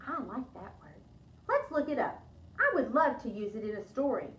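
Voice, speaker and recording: A woman's voice: on the high side, very animated, moderate pace, fairly bright tone, reverberant, far from the mic, highs cut off. A woman voicing a cheerful, naive, literal-minded housekeeper in a storybook, earnest and exclamatory.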